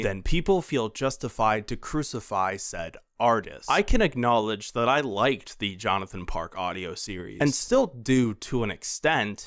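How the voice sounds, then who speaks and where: A man delivers opinionated commentary as the host of a video essay. His mid-pitched voice moves up and down a lot, and he leans emphatically on key words. The sound is dry, with very little room in it. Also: a bit bright, dry recording.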